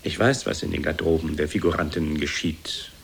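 An actor playing a stern, disapproving grown son in his thirties in a theatrical radio drama, formal and severe, speaking deliberately. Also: very deep voice, steady tone, gravelly, a little hiss or hum.

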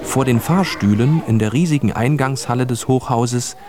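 A man's voice: medium pitch, moderate pace, noisy background. A man narrating a scripted children's radio play as a storyteller.

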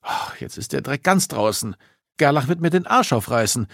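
Male narrator as anxious girl, raised pitch, whiny, strained, agitated exclamations, audiobook dialogue, dry sound. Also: expressive, moderate pace, vocal fry, a bit bright, dry recording. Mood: panicked. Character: Hatti, horrified, anxious girl, flustered and whiny.

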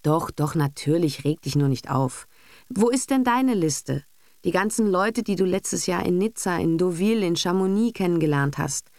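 A brisk, self-assured husband and father as a woman reader acts him, all business and cynicism in an audiobook. He is bossy and sardonic, with a creaky voice in a dry, close sound. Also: lowish voice, expressive, darkish tone, dry recording, voice pushed up. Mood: impatient, dismissive. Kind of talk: literary audiobook character acting.

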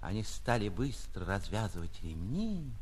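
A man, an actor reading a literary short story in an expressive, theatrical narrating style. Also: on the low side, a little slow, a little hiss or hum. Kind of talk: dramatic literary narration with acted dialogue.

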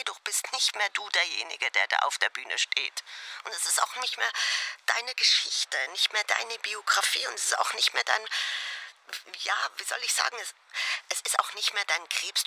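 A very bright, tense female voice playing an exasperated colleague in a radio play. She speaks bluntly and insistently, with animated pitch swings and colloquial phrasing, in a dry, close recording. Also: no echo, full character voice. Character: blunt, exasperated woman colleague pleading on a phone message. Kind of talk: naturalistic monologue as a phone message.

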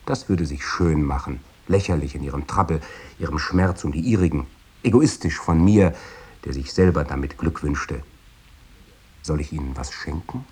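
An actor voicing a devoted, self-doubting letter writer in a radio drama, intimate and hesitant. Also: male voice, extremely low pitch, normal speed, a little mellow, slightly rough.

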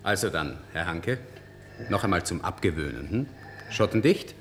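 An actor playing a jovial, brisk clinic doctor in a German radio play, giving instructions with breezy authority. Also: male voice, sits low, animated, fairly bright tone. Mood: jovial, commanding. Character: breezy, bossy, joking male clinic doctor. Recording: faint background noise.

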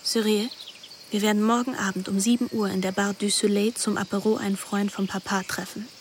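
A young daughter played by a woman in a radio play, cool, scheming and persuasive. She speaks at a medium pitch, with faint insects and outdoor ambience around her. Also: little pitch movement, a little fast, a little hiss or hum, natural voice. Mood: conspiratorial, persuasive. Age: young adult.

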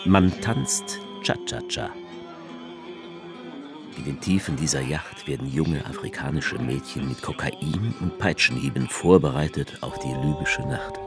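An actor playing a brooding, sardonic author who narrates a radio play in the first person. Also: male voice, rumbling low, a little slow, over music. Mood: observing, sardonic. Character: brooding male narrator-author, sensual, darkly sardonic witness.

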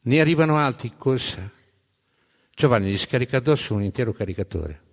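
A man narrating history as dramatic storytelling, in emphatic, measured phrases with pauses. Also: medium pitch, expressive. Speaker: narrator of a storytelling stage show. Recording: long hall reverb.

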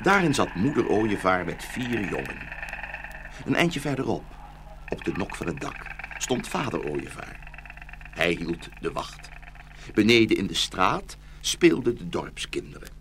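Male narrator, lowish voice, lively intonation, children's fairy-tale storytelling, scripted. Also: a little slow, noisy background.

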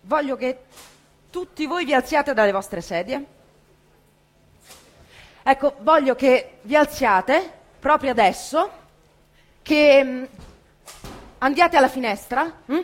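A woman reciting a dramatic theatrical monologue in an impassioned, emphatic way, with deliberate pauses. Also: high-pitched, lively intonation.

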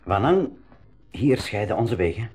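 An actor playing a resolute, principled older professor leading an adventure in a school radio drama. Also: male voice, fairly low pitch, big pitch swings, unhurried, a little mellow, breathy edge, soft background music, full character voice. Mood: warm farewell.

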